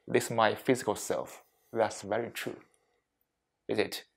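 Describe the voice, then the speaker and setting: A man leading a reflective mindfulness talk as a meditation teacher, speaking deliberately in short phrases with frequent pauses and non-native English phrasing. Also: fairly low pitch, fairly even intonation, gravelly, breathy edge. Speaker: meditation teacher giving a workplace session.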